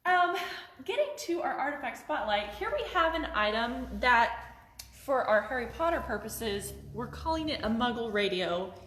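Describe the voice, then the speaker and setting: A woman's voice: medium pitch, a bit bright. A woman in her twenties presenting museum artifacts to camera in an informal, chatty, friendly way. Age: 20s. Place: large indoor museum hall. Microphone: handheld phone camera a few feet away. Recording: roomy, echoey phone video indoors.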